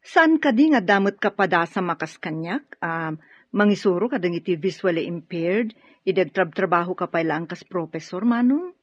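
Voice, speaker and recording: A woman's voice: lowish voice, animated, normal speed, slightly rough, no echo. A woman with a Filipino accent acting a frank, supportive younger sister in an Ilocano radio drama. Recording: dry, dull lo-fi radio drama audio.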